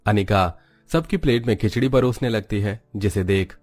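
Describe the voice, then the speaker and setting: A man's voice: lowish voice, normal speed, a little mellow, faint music behind. A man narrating a Hindi cartoon story in a scripted storytelling style.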